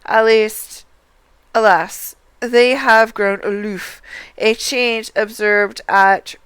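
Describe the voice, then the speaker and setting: A woman reads video game dialogue for a livestream. Her voice is exceptionally clear and mid-pitched, with a slow, deliberate delivery. The sound is dry. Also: fairly even intonation, fairly bright tone, no echo. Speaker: game streamer.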